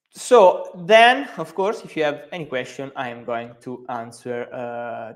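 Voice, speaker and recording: A man in his thirties with a strong Italian accent, a native Italian speaker hosting a live stream as a company co-founder, talking with many fillers and restarts. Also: on the high side. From Italy.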